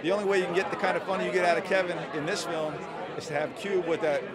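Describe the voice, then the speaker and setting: A middle-aged actor speaking reflectively in an outdoor red carpet interview into a handheld mic. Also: male voice, high-pitched, fairly even intonation, fast talker. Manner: thoughtful, reflective, rambling. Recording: loud crowd noise behind.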